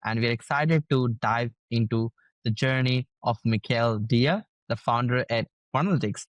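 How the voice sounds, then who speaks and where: A man's voice: mid-range voice, moderate pace, no echo. A man hosting an interview podcast in an upbeat, hype-style way, calling his listeners guys and his guest brother. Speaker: podcast host.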